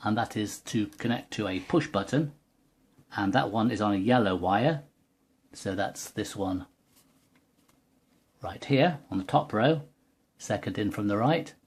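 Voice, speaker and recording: A man's voice: lowish voice, animated, a little slow, pauses often, slightly rough. A man giving a methodical, precise step-by-step technical demonstration as a tutorial presenter. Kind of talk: step-by-step hands-on product demonstration.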